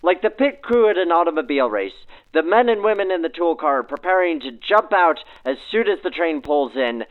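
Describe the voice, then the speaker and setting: A thin, phone-narrow vintage radio sound frames this man's somewhat high voice. He hosts like a 1940s announcer, presenting and interviewing in formal, florid phrases. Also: animated, brisk, hardly any pauses. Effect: backdated 1940s radio filter. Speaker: host, interviewer. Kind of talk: scripted 1940s radio-style narration and interviews.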